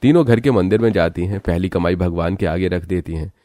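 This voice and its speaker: A man's voice: deep voice, a little fast, warm tone, a little hiss or hum. A man narrating a scripted cartoon family story.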